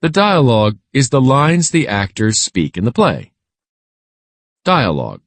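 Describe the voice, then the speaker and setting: A man reading a scripted children's lesson aloud in a measured, instructional way. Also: mid-range voice, huge pitch swings, no echo.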